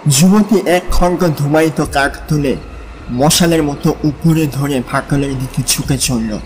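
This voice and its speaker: A man narrating a dramatized audio story with measured, suspenseful phrasing. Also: on the high side, a little hiss or hum.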